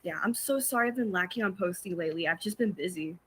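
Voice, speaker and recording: A woman's voice: fairly low pitch, fast talker, a loud crowd. A young woman who runs a fan page, chatting eagerly on a call and agreeing a lot. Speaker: fan page runner, caller. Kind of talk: casual chat.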